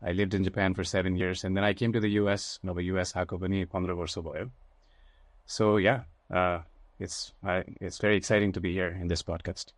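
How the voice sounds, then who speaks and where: A man in his forties from Nepal, a guest on a video call with a headset, answering calmly and mixing English and Nepali. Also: low voice, rapid-fire, no echo. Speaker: guest, aviation professional.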